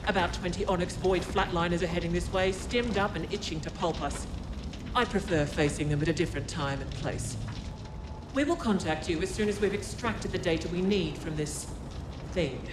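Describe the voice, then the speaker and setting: A woman's voice: fairly low pitch, moderate pace, noisy background, close to an everyday voice. A woman playing a cool, articulate futuristic operative in a video game, formal and dryly threatening. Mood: composed, dry. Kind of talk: video game character acting.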